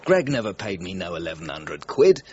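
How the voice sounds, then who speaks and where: A man's voice: low voice, sing-song, moderate pace, a little hiss or hum. A man playing a shifty, scruffy British jobbing musician in a radio crime drama, slangy, jittery and defensive. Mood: incredulous, defensive. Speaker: actor. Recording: muffled, dull audio.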